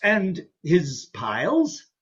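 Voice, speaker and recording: A man playing a sweet, gullible, bewildered physician, hesitant and slow to catch on, in a comic stage farce reading. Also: a little high, huge pitch swings, slightly rough, dull audio, exaggerated voice. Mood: puzzled. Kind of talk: comic farce readers theatre.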